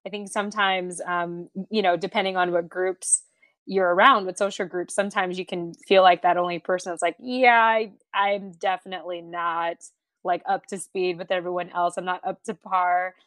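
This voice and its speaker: A woman's voice: fairly low pitch, fairly even intonation, moderate pace, a bit bright, dry recording. A woman hosting a podcast, chatting in an agreeable, reflective way with small restarts. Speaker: podcast host. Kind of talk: casual chat.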